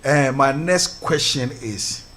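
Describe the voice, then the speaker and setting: A man's voice: mid-range voice, takes it slowly, fairly bright tone. A man, a pastor hosting a church radio show, interviewing a guest and praying in a fervent, formal way. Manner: fervent, formal, repeats hallelujah.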